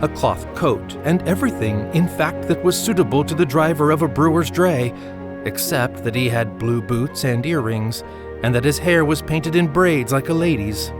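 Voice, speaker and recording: A man narrating a Christmas story in an audio drama, with measured, expressive literary delivery. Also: a little high, natural voice. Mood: measured wonder. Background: music under the voice.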